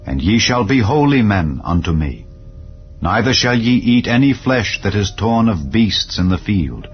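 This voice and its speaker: A man narrating scripture in a solemn, measured, declarative manner, as in a dramatized Bible reading. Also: fairly low pitch, sing-song, highs cut off. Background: clear background music.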